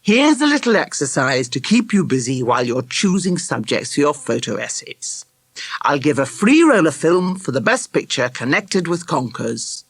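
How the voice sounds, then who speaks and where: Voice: mid-range voice, big pitch swings, moderate pace, breathy edge, dry recording. A storybook reader voicing a male club teacher who talks with wry relish and teases. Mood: wry teasing. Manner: teasing, wry.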